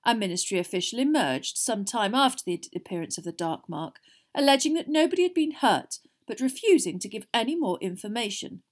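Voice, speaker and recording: A woman voicing a weary middle-aged father in a fantasy audiobook, measured and heavy, somewhat exasperated. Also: on the high side, expressive, lightly acted. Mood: heavy, grim.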